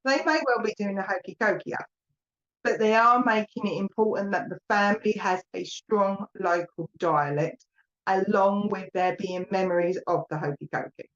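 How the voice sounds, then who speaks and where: A woman's voice: mid-range voice, normal speed. A woman with an Essex accent chats her way through a live psychic reading and calls viewers "my lovely". Her voice sits in a middle range. The stream audio is dull and lo-fi. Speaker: psychic medium. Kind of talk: live online mediumship reading.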